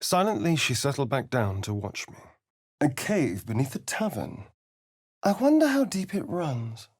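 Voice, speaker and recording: A man's voice: medium pitch, very animated, unhurried, slightly rough, no room sound, clean studio sound. A man playing a sly, centuries-old vampire spawn in a video game, arch, flamboyant and teasing, with precise enunciation. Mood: dry, wry. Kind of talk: video game character voice lines and narration.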